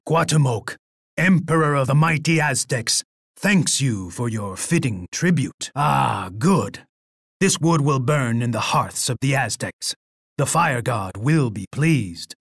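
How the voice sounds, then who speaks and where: An actor playing a proud, bloodthirsty and unhinged Aztec emperor in a video game, with a husky voice and a pompous manner. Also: male voice, medium pitch, no echo, studio quality. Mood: haughty, grateful. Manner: pompous, speaks of himself in third person. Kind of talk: video game character voice lines.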